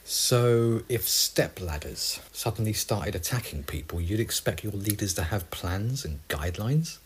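Low, swingy-voiced sarcastic husband played by a man. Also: lively intonation, unhurried, slight background noise. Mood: dismissive. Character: blasé bickering husband in a zombie apocalypse comedy. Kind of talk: audio comedy drama acting.

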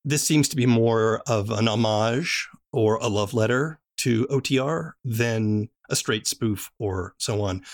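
Guy interviewer, calm attentive, lots of mm-hmms. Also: fairly low pitch. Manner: attentive, short affirmations. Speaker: podcast interviewer. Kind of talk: interview questions.

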